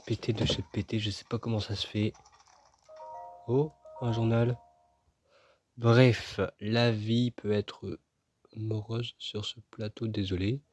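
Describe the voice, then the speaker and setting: A man's voice: on the low side, level tone, slow, long pauses, darkish tone, slight background noise. A man casually commenting as he plays a video game, joking and chatting with himself and his viewers. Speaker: gaming video host. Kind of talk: casual let's-play commentary.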